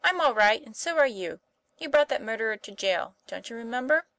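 A woman voicing a gentle, pious young boy who lies wounded, speaking earnestly as an audiobook character. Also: a little high, animated, a little fast, no echo. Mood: joyful.

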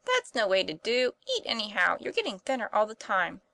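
A woman's voice: medium pitch, wildly swooping pitch, brisk, vocal fry, bright, forceful tone, no room sound, exaggerated voice. A woman voicing a plucky, blunt young schoolboy in an audiobook, boyish and exclamatory in manner. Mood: sturdy, insistent. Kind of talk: audiobook character voice.

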